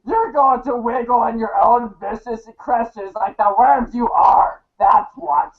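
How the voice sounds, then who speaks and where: Man playing a high-pitched abrasive foul-mouthed bully. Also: a little slow. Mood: aggressive, taunting. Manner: crude, taunting, sweary. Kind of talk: amateur read-along voice acting. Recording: thin phone-like sound.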